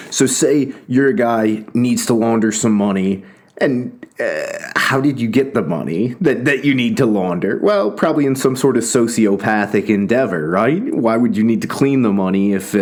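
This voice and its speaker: A man's voice: fairly low pitch, a little mellow. A man hosting a casual commentary monologue, rambling and self-interrupting, often breaking into laughter. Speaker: host.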